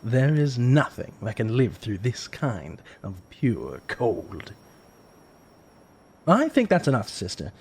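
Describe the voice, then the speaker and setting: A man acting a husky, menacing villainous mage. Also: medium pitch, sing-song, a little mellow, full character voice. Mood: smug, menacing. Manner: menacing, smug, deliberate. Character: cold, cruel villainous weather mage brother. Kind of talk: audio drama acting.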